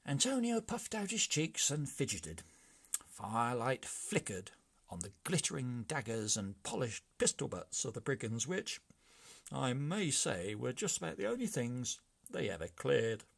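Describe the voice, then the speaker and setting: A man narrating a children's storybook aloud in an expressive, theatrical read-along style. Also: mid-range voice, a little slow, gravelly, slightly rough.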